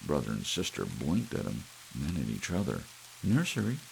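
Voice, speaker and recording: A man narrating an audiobook story in an ASMR reading style, with soft rain behind him. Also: a bass voice, sing-song, brisk, creaky, slight background noise, poor audio.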